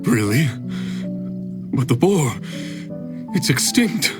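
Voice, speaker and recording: A woman voicing a gentle, melancholy spirit from a bygone era in a video game, speaking hesitantly. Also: rumbling low, sing-song, slow, over music, exaggerated voice. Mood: doubtful, moved.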